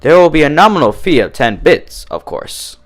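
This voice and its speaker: Man playing a smug, measured pony bureaucrat. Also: on the high side, sing-song, pitched above the performer's own voice. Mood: smug, officious. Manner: formal, politely condescending, measured. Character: officious, smug male pony bureaucrat behind a desk. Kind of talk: cartoon fan fiction character reading.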